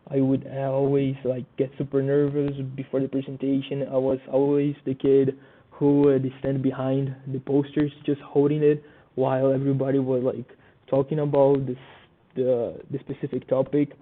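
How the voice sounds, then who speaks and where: Young Brazilian man, native Portuguese speaker, halting student presenter with a flat, level voice over thin phone-like sound. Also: mid-range voice. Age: young adult. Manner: halting, many fillers and restarts. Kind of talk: class presentation. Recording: tinny phone-like audio.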